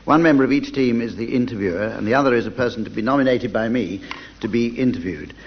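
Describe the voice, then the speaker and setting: A man's voice: medium pitch, fast talker. Man chairing an old radio panel game. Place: theatre with live audience. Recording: dull 1980s radio recording, noisy audience hall. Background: audience and background noise.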